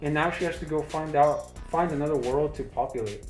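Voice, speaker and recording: A man's voice: on the high side, brisk, a little mellow. A man chatting casually as a review co-host, with a loose, slangy, conversational way of talking. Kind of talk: casual chat. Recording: roomy echo, faint music behind. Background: faint music.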